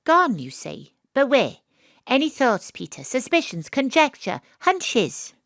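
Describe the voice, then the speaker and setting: An actress playing a wry, world-weary private detective, narrating and talking in an audio drama. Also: female voice, high voice, very animated, measured pace, a bit bright, no room sound, studio quality, exaggerated voice. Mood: inquisitive. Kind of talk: audio drama dialogue and noir-style narration.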